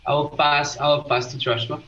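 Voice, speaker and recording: A man from Portugal speaking English with a Portuguese accent, giving a halting update in an online meeting. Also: a little high, level tone, bright, forceful tone. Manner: halting, searching for words, non-native phrasing. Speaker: community meeting participant. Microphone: video call. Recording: dull lo-fi call audio.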